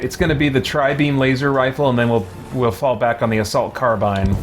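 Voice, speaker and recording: A man giving casual gameplay commentary, thinking aloud to himself with mild folksy exclamations. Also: medium pitch. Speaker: let's play host, gamer.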